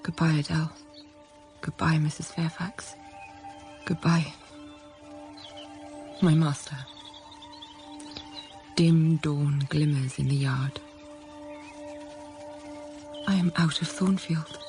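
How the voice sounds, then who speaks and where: A young governess narrates slowly and intimately over clear music. She is resolute but grieving, telling her story in the first person as radio drama. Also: deep voice, steady tone, a little mellow. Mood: sorrowful.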